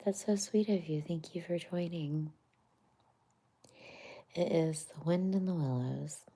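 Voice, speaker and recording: A woman's voice: on the low side, takes it slowly. A woman whispering a bedtime story aloud as its host. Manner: soft whisper.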